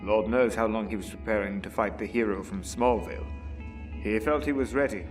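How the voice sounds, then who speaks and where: An actor playing a calm, retired older butler and mentor in an audio drama, formal, dry and measured. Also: male voice, lowish voice, far from the mic, faint music behind, natural voice. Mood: grave, earnest.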